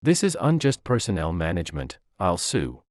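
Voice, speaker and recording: Man voicing a deep-voiced blustering fired director. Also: huge pitch swings, normal speed, darkish tone, no room sound, studio quality. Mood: indignant shock. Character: blustering, indignant fired male company director. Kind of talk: audio story dialogue.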